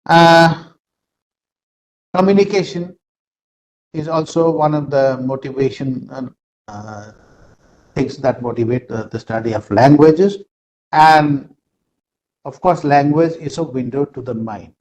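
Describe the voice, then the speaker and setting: Halting Indian English lecturer, man. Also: mid-range voice, a little mellow, slight background noise, low-quality recording. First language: Nepali. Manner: halting, many "uh" fillers. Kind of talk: online lecture. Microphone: video call.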